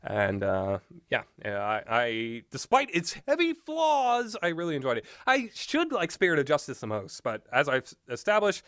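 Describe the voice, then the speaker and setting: A man's voice: fairly high pitch, huge pitch swings, normal speed, hardly any pauses, a bit bright, dry recording. Rambling man in casual game commentary, dry recording. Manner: rambling, hesitant, opinionated. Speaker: video game reviewer.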